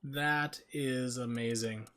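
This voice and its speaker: A man's voice: mid-range voice, fairly even intonation, slow pace, sharp tone. A man giving casual, chatty, amused commentary while livestreaming a video game. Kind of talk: casual gaming stream commentary.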